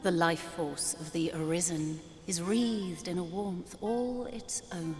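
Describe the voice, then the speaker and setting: A solemn female oracle speaks very slowly in a long reverb. Her voice is lowish and serene, with measured archaic phrasing fit for a fantasy game. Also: steady tone, darkish tone, faint music behind. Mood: solemn, mystical. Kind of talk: fantasy video game acting. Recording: long reverb, faint noise.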